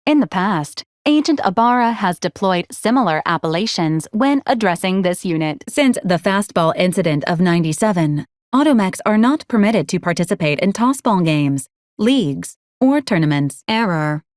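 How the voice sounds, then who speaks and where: Clinical, deadpan female robot medic played by an actress. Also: lowish voice, moderate pace, no echo, studio quality, lightly acted. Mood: clinical, matter-of-fact. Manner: clinical, formal, deadpan delivery. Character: polite, literal-minded female robot medical support unit. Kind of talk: video game voice acting.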